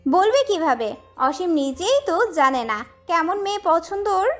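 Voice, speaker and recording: A woman's voice: very high-pitched, big pitch swings, a little slow, a bit bright, pitched above the performer's own voice, heavily acted. A woman playing a sweet, teasing young wife in a romantic audio story. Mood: earnest. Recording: music under the voice.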